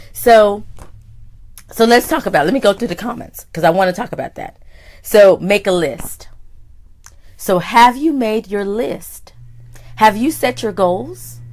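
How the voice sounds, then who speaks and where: A woman with a hoarse voice hosting a live motivational talk, greeting viewers by name and exclaiming with excitement. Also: medium pitch. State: hoarse, deeper voice than usual. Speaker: host and life coach.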